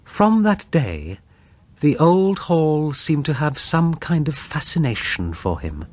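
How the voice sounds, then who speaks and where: A man's voice: on the high side, very animated, a little mellow, dry recording. Male narrator reading a suspenseful horror tale. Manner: suspenseful, measured storytelling with dramatic pauses. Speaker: audiobook narrator.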